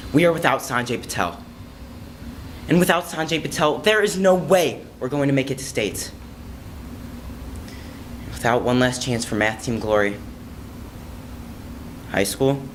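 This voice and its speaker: A man acting a self-important high school math team captain in an emphatic, declamatory monologue. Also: fairly bright tone, poor audio. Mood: melodramatic despair. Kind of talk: theatrical monologue audition. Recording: faint room noise.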